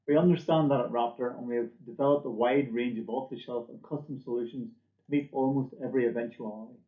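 A man's voice: mid-range voice, steady tone, fast. A middle-aged man giving a scripted product presentation as a company presenter, recorded indoors at a desk. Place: indoor room, seen on webcam. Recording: echoey room, dull muffled audio.

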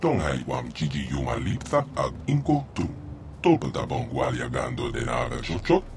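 An actor playing a stubborn alien guide in a video game, speaking an invented tongue in an emphatic singsong. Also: male voice, rumbling low, huge pitch swings, moderate pace, creaky, a little mellow, slight background noise, heavily acted. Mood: insistent.

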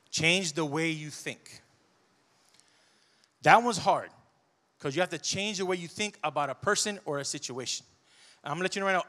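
A man in his forties preaching a sermon on a church stage into a handheld mic, conversational and animated, with personal asides. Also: a little high.

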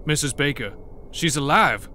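A man's voice: on the high side, expressive, moderate pace, pushed and bright, a little hiss or hum. An actor playing an uneasy, terse everyday man in a horror audio drama. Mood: shaken, defensive.

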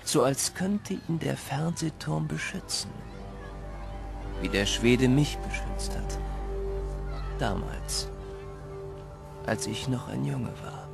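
A wistful grown man narrating his memories in a radio play. His voice is heavily creaky and gravelly, slow and reflective, with faint music behind. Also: medium pitch. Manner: measured, reflective. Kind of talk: scripted audio drama narration.

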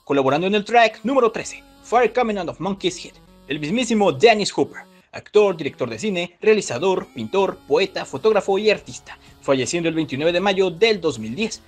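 A man with a high voice hosts a scripted trivia video. His delivery is expressive and swings widely in pitch, with music playing along underneath. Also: normal speed, few pauses. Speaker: video host.

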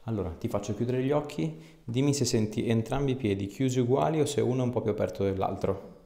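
A man in his thirties, an osteopath calmly giving short instructions and chatting with a patient during an exam. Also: medium pitch, quick pace, gravelly. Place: small indoor clinic treatment room. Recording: echoey small treatment room.

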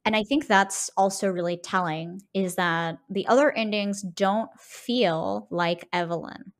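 Mid-pitched woman thinking aloud. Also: unhurried. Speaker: podcast host. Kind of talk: casual analytical discussion.

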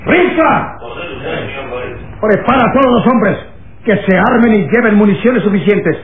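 An actor playing a cruel, furious, commanding camp boss in an old radio drama. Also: male voice, high-pitched, expressive, measured pace, echoey room, crowd noise. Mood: vengeful. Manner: menacing, vengeful, commanding. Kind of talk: vintage radio drama acting. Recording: tinny, noisy old radio recording.

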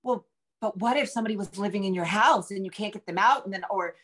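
A woman's voice: mid-range voice, quick pace, a bit bright. A middle-aged American woman talking casually as an interview guest on a video call, thinking aloud as she goes. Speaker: guest novelist. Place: indoor room at home, video call.

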